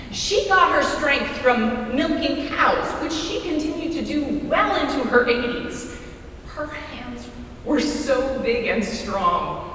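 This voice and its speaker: A woman telling a rehearsed family story on stage, measured and expressive, with deliberate pauses. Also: on the high side. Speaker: readers theater storyteller. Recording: distant echoey hall with faint noise.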